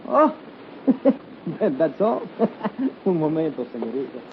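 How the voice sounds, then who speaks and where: Shady Mexican male dealer, sly halting English, very animated pitch, vintage muffled radio thriller sound. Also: exaggerated voice. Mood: sly, amused. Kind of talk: 1950s radio suspense acting. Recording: muffled, noisy 1951 radio recording.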